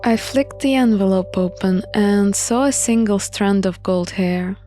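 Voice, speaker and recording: A woman's voice: medium pitch, unhurried, dark tone, soft background music. A woman reading scripted first-person story narration for a visual novel playthrough.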